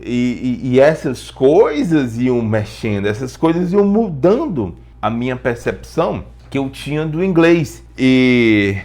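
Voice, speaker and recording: A man's voice: mid-range voice, very animated, slow, few pauses, darkish tone. A man with a Brazilian accent giving an informal lesson as an English teacher, explaining through personal stories, repeating phrases for emphasis and posing rhetorical questions.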